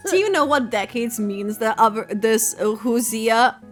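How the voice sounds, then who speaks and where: A woman streams a game and comments casually. Her voice is high, and she talks in brief, giggly interjections while game music plays behind her. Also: animated, unhurried. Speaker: gaming streamer.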